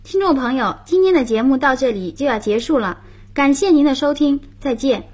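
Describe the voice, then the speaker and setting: A woman's voice: sits high, lively intonation, moderate pace, a little mellow. A woman hosting a radio language lesson, patiently explaining grammar and prompting her partner.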